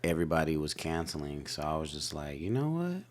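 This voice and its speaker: A man's voice: rumbling low, sing-song, a little fast. A young man co-hosting a casual family podcast, joking around with playful slang. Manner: playful, hype-style slang. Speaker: podcast co-host. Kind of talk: casual chat.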